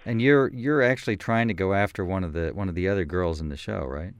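A man hosting a radio interview in a studio, talking in a relaxed way with frequent fillers. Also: deep voice, fast talker, smooth, no room sound. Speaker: radio host. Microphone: studio microphone.

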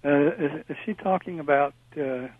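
An older man calling in to a radio show, talking haltingly with many fillers. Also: a little high. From Indiana. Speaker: caller. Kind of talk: call-in radio chat. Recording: phone line.

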